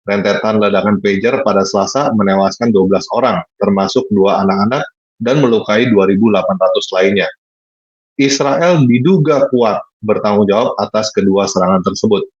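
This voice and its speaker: A man with a husky voice, reading news articles aloud as an online host with casual asides to viewers. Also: lowish voice. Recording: roomy echo, dull lo-fi sound.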